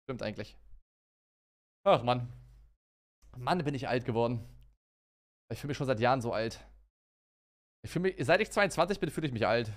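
A man's voice: mid-range voice, lively intonation, normal speed, pauses often. A man in his twenties streaming a video game from his room on a headset, chatting casually and thanking viewers. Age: 20s. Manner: chatty, self-mocking, reads out chat and thanks subs. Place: home gaming room.

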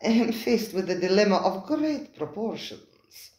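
A reader voicing a sly, smooth male restaurant owner who purrs his words with false charm. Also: mid-range voice, sing-song, a little slow, a little mellow, roomy sound, pitched above the performer's own voice. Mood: smug. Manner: purring, silky, falsely genial.

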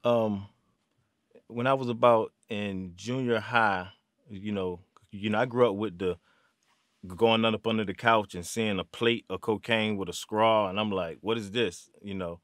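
A man, from Virginia, talking as an interview guest in a halting way full of "um"s and restarts. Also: lowish voice, steady tone, a bit bright, dry recording, studio quality. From Virginia, USA. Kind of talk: casual interview. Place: small studio room.

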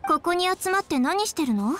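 A woman voicing a confident, practical young noblewoman in a video game, with a brisk, matter-of-fact and feminine way of talking. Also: extremely high pitch, expressive, very noisy. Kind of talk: Japanese video game voice acting.

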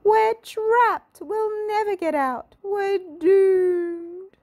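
A shrill, high-pitched little male worrier from a children's storybook , acted out by a woman. He exclaims loudly in anxious panic, every phrase pitched far up. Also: drawn-out words, heavily acted. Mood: panicked.

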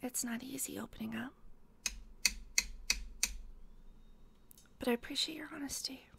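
A woman playing a teasing, obsessed female detective in a whispery, deliberate ASMR roleplay. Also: sits high, slight background noise. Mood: soft, intimate. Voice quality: breathy, whispery. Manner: slow, deliberate, teasing, pausing. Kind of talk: ASMR roleplay, scripted intimate monologue.

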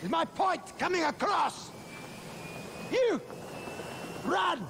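An actor playing a sadistic, theatrical crime boss who gloats and menaces in a video game. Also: male voice, very high voice, big pitch swings, takes it slowly, gravelly, background noise, heavily acted. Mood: gloating menace. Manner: theatrical, menacing public address.

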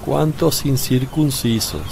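An actor playing a calm, wise male teacher with gentle authority in a solemn religious radio play, speaking in a measured way. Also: mid-range voice, vocal fry, noisy background. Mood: solemn, firm. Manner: measured, deliberate. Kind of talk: slow, solemn radio drama acting.

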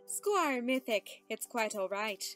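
A woman's voice: sits high, a bit bright, heavily acted. A woman voicing a gracious, reassuring royal cartoon pony queen, with music behind. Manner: reassuring, regal. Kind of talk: animated cartoon dialogue.

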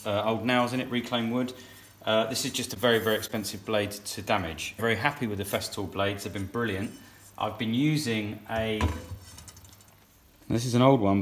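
A man in his thirties, plainly and practically talking through saw blade tests in his woodworking workshop. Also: on the low side. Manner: plain, practical, thinking aloud. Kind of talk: workshop tool review.

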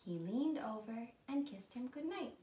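A young woman reading a picture book aloud to small children in an animated way, pausing to put questions to her listeners. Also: a little high. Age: young adult. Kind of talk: read-aloud children's storytime. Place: living room at home, indoors. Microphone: camera a few feet away. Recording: roomy, distant mic, faint room noise.

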